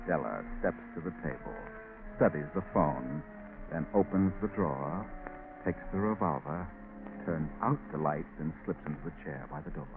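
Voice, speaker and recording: A sinister, very low-voiced male narrator taunts and menaces in theatrical old radio style. The sound is tinny and narrow, with music clearly playing behind him. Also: unhurried. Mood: ominous. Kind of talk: 1940s radio suspense narration. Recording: tinny band-limited 1940s radio recording.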